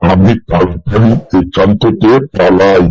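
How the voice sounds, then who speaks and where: Man voicing a deep, husky cowardly tiger. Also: fairly even intonation, a little slow, darkish tone, no room sound, rough recording. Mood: frightened. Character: gullible, cowardly, blustering male tiger. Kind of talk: cartoon fairy-tale acting.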